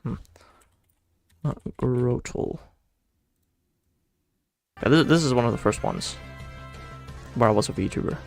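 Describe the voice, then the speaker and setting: A man with a Dutch accent talks through a live stream, his voice pitched in the ordinary middle range with a rough, fry-edged texture. He thinks aloud and rambles, often restarting his sentences and poking fun at himself. Soft music plays faintly underneath. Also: animated, slow, pauses often. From Netherlands. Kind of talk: casual live-stream chat.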